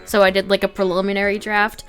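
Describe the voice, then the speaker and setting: A woman's voice: mid-range voice, completely flat delivery, fast, fairly bright tone. A woman giving casual, informal artist commentary in a conversational way. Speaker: artist narrating own speedpaint. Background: background music.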